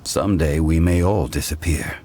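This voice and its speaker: An actor playing a calm, solemn ancient god in human form for a video game, speaking in a measured, formal, reflective way. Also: male voice, extremely low pitch, a little hiss or hum.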